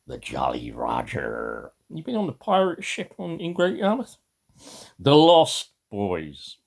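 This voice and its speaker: A man's voice: fairly low pitch, slightly rough. An older man reading a children's story theatrically, slipping into dry, muttered asides. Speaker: amateur storybook reader.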